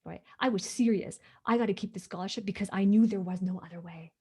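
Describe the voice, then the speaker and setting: A woman's voice: medium pitch, quick pace, dark tone, breathy edge. A woman from Hong Kong, not a native English speaker, telling her story as an interview guest, emphatic and often asking "right?". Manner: emphatic, repeats "right?" for agreement.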